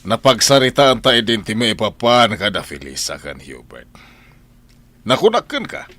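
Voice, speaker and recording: A man's voice: mid-range voice, big pitch swings, normal speed, bright, forceful tone. A man playing an exasperated older father in a radio drama. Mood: exasperated, scolding. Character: exasperated older father scolding his wife over money.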